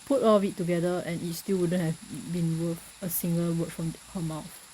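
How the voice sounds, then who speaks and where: Female gamer, lowish dark soft-edged voice, casual read-aloud delivery, faint noise behind. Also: little pitch movement, moderate pace, rough recording. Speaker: gamer playing on a recorded session. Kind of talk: casual reading aloud and play commentary.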